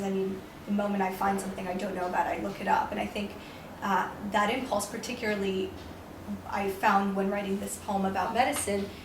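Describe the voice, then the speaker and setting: A young woman poet, raised in New York with Romanian as her first language, talks through her ideas slowly and reflectively. Her voice stays quite level in pitch. The room is echoey and she sounds a little far from the microphone, with faint noise behind. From New York, United States. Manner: thoughtful, reflective, with frequent hedges and restarts. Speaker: poet, prize winner. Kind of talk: interview answers.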